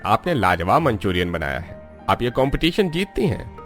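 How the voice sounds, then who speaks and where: A man voicing a formal, decisive cooking contest judge in a cartoon story, measured and appraising. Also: mid-range voice, sing-song, fairly bright tone, soft background music. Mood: approving. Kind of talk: Hindi cartoon story voice acting.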